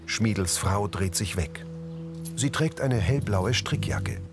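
A man's voice: fairly low pitch, wildly swooping pitch, takes it slowly, creaky, music in the background. A man reading a scripted audio description of a drama, narrating scenes in the present tense.

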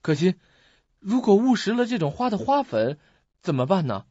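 An actor in an audio drama playing an earnest, puzzled young student who questions and muses to himself. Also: male voice, sits high, very animated, moderate pace, warm tone, slightly rough, no room sound, full character voice. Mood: suspicious musing. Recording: muffled lo-fi audio.

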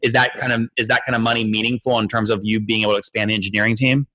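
A man hosting an interview podcast, asking questions in a brisk, direct, businesslike way. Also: lowish voice, little pitch movement, bright, forceful tone.

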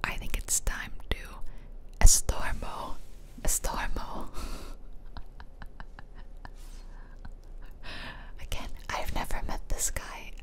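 Girl gamer doing asmr, all whispers, unhurried, casual little jokey asides, super close mic. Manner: playful, casual murmured asides. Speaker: gaming streamer. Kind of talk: whispered ASMR game commentary. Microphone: close ASMR microphone, ear to ear.

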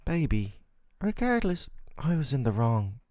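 Guy acting as a caring worried boyfriend, asmr roleplay, soothing and sincere, lively pitch. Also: measured pace, no room sound, studio quality. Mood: apologetic, loving. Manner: soothing, earnest, affectionate. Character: worried, tender, doting boyfriend caring for his sick partner.

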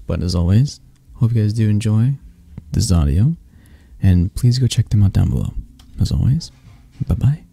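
A man's voice: lowish voice, expressive, normal speed, gravelly, dark tone, husky. A man casually presenting a sponsor read, informal and chatty in his phrasing. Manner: informal, tags phrases with "right?". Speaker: ASMR creator presenting a sponsor.

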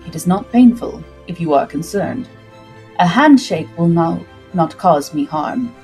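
A woman voicing a solemn, intense male benefactor in a slow, formal manner for a pirate story. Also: on the low side, animated, mellow. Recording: music behind the voice.

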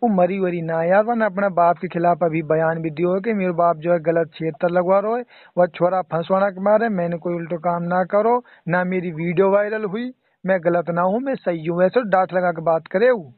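A man's voice: high voice, normal speed, no echo. A man with a Mewati accent chatting casually and emphatically on a recorded phone call, sounding exasperated.

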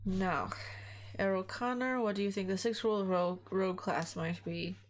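A woman voicing a formal, stern male schoolmaster with a put-on German accent, speaking in a measured, courteous but firm way over music. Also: mid-range voice, fairly even intonation, close to an everyday voice.

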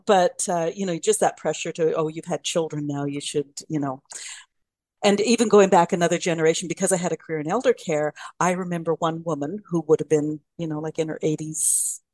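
Older woman, podcast host, anecdotal, measured, lowish pitch. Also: steady tone, darkish tone, no echo. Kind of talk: casual conversation.

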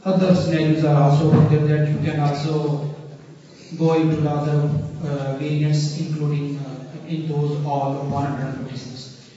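A man's voice: on the high side, completely flat delivery, dark tone. Pakistani man lecturing in an echoey hall. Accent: Pakistani English. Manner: halting, many fillers and counted lists. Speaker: keynote speaker. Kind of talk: conference science talk. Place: large auditorium, at a podium. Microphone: podium mic, recorded from the audience. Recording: roomy hall, distant dull phone recording.

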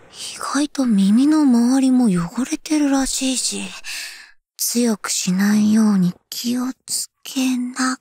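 Husky, teasing delinquent schoolgirl. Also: fairly high pitch, no room sound, exaggerated voice. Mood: soft, attentive. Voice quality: husky, breathy. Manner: rough tomboyish slangy speech, teasing. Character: brash teasing delinquent high school girl. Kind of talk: ASMR voice drama. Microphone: close-up ASMR microphone.

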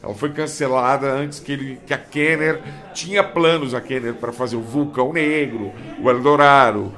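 A man's voice: mid-range voice, normal speed, a bit bright, soft background music. A man from Brazil at a collectors' table chat, explaining cartoon and toy history in detail like a trivia expert. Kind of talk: casual panel chat. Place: indoor room, seated at a table of toys. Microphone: handheld mic passed around.

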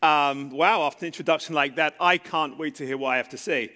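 A man's voice: on the high side, fairly quick, bright, forceful tone. London man preaching in a reverberant church. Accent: London English. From London, England. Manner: conversational, self-deprecating, with ums and repetition. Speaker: guest preacher. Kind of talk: sermon. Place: church stage with audience. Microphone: handheld microphone. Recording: long reverb in a church hall.

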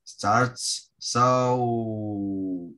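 A woman's voice: extremely low pitch, very slow, fairly bright tone. A woman with as her language, teaching an interactive lesson on a video call in short prompts. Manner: short prompts, calls on pupils. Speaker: online English teacher. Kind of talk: casual interactive lesson with word games.

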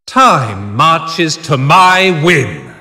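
An actor playing an imperious, solemn god of time in a fantasy video game, declaiming in a grand, measured, theatrical way. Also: male voice, a little high, sing-song, gravelly, pushed and bright, heavily acted. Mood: imperious, commanding. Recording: long reverberant hall effect.

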